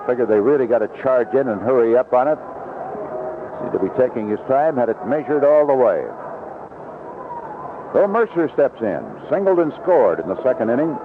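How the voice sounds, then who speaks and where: A man's voice: mid-range voice, lively intonation, a little fast. Tinny vintage radio baseball play-by-play man. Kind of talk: live radio play-by-play commentary. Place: outdoor ballpark broadcast booth. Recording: tinny, noisy 1970s AM radio broadcast.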